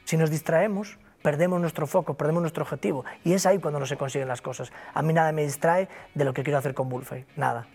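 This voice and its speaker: A man's voice: fairly high pitch, steady tone, fast, few pauses, darkish tone, breathy edge, soft background music. Emphatic man with a Galician accent. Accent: Galician Spanish. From Galicia, Spain. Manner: emphatic, repetitive, motivational. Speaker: interview guest, broker company founder. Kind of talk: interview conversation.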